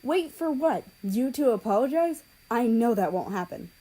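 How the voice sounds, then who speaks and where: A woman's voice: on the high side, expressive, moderate pace, dark tone, voice pushed up, poor audio. A woman voicing an indignant, fiercely loyal teenage girl in an audiobook story, emphatic and offended. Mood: resigned, bitter.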